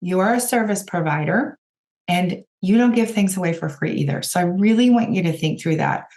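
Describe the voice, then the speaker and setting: A woman with a raspy voice, hoarse from a cold, hosting a podcast and giving direct, encouraging coaching talk to her listeners. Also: on the low side, a little fast, a little mellow. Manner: encouraging, direct, addresses listeners as you guys. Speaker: podcast host and business coach.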